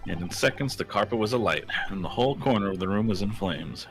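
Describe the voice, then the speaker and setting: A man voicing a pompous, eloquent gentleman detective in a florid, theatrical manner for a video game fandub. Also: fairly low pitch, expressive, fast, over music, low-quality recording.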